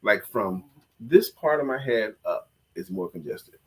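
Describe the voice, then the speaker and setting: Congested husky man chatting. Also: fairly low pitch, unhurried. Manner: joking, self-deprecating, lively delivery. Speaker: co-host and coach. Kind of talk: casual live-stream chat.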